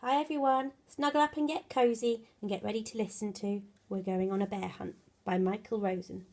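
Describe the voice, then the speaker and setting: A woman reading a children's storybook aloud in an expressive, animated way, drawing out her words and playing up the sound effects. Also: mid-range voice, moderate pace, soft background music. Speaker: primary school teacher reading a bedtime story.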